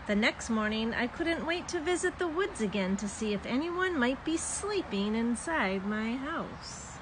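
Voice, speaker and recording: A woman's voice: a little high, lively intonation, measured pace, background noise. A woman reading a children's story aloud expressively, drawing out words for young listeners.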